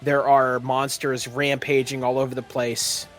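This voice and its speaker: A man's voice: mid-range voice, level tone, unhurried, fairly bright tone. A man working as a game master, narrating an improvised tabletop story in a casual, colloquial way with joking banter. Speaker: game master and show host.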